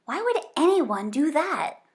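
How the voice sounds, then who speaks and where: A woman's voice: high-pitched, big pitch swings, a little slow, voice pushed up, full character voice. A woman voicing a skeptical, blunt young boy in a children's storybook. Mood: puzzled.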